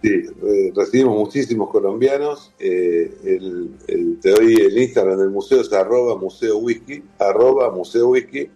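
A man's voice: fairly low pitch, moderate pace, few pauses, mellow, breathy edge, muffled recording, faint music behind. A man with an Argentine accent, a guest talking casually about his collection in an interview, with a chatty, repetitive way of explaining. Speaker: guest, whisky museum owner.

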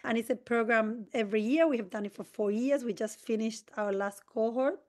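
A woman's voice: fairly high pitch, a little fast, darkish tone. A woman from Argentina, an interview guest who explains at length in an earnest, enthusiastic way with brief restarts. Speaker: community organisation president.

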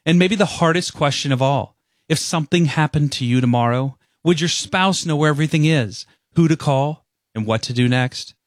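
Measured male radio ad voice. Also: fairly high pitch, dry recording, studio quality. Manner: smooth, measured, persuasive. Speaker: ad spokesman. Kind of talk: scripted radio ad read.

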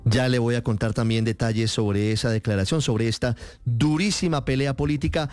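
A man's voice: mid-range voice, moderate pace, darkish tone, clear, resonant tone, dry recording, faint music behind. A man anchoring a morning news show, commenting affably and agreeing with his co-host.

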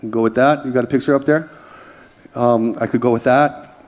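A man's voice: mid-range voice, moderate pace, lo-fi sound. A male pastor preaching a sermon with energy, reading scripture aloud and calling out to the congregation. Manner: energetic preaching with crowd call-outs.

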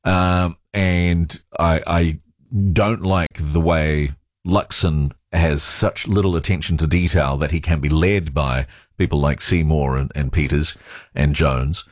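A man with a New Zealand accent hosting late-night talkback radio, speaking in a measured, polite way with hesitant restarts. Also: a bass voice, steady tone, dry recording, studio quality.

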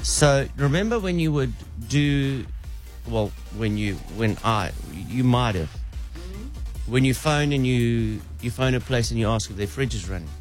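Middle-aged South African radio prankster. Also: male voice, medium pitch, unhurried, faint music behind. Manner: animated storyteller with frequent restarts. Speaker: radio show host, prank caller. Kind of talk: casual breakfast radio banter. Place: radio studio. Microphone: studio microphone.

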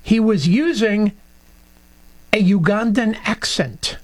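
A high-pitched man co-hosts a talk radio show. He tells stories and drops in dry, sarcastic remarks. He keeps a conversational, chatty tone throughout. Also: expressive, slow. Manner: storytelling, sarcastic asides. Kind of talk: casual political radio talk.

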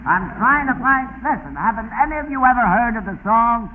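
Raspy, exasperated American radio quizmaster, high-pitched. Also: male voice, expressive, brisk, a little hiss or hum. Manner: exasperated, insulting. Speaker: comedy quiz show host. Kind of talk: 1940s radio comedy, exasperated straight man. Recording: tinny 1940s radio broadcast recording.

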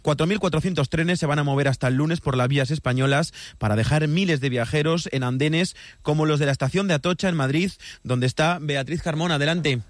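Fast-talking Spanish male news presenter. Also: on the high side, no room sound, clean studio sound. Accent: Spain. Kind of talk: news reading with informal handovers.

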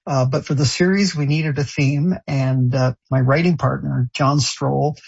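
A man answering interview questions about songs he wrote, talking haltingly with many restarts and fillers. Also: medium pitch, steady tone, creaky, a little mellow, husky, highs cut off. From Canada, raised in France. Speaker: show creator and songwriter.

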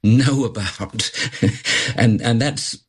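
A man's voice: medium pitch, slow, husky. A man raised between England and Malaysia, a journalist guest answering in a rambling, self-deprecating way with many fillers. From born in London, raised in Malaya. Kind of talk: conversational interview answers. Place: remote line from abroad.

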